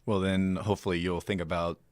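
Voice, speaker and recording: A man's voice: low-pitched, flat delivery, brisk, a little mellow, no room sound. A man hosting a casual radio interview, asking questions in an informal, slightly hesitant way. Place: radio station.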